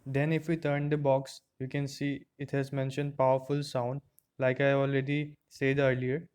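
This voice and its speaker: A man presents a scripted product review through an earphone mic. His voice is smooth and clear, with an average pitch that stays quite level as he explains things plainly, step by step. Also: level tone, normal speed, a little mellow. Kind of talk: scripted unboxing and review narration. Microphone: earphone microphone.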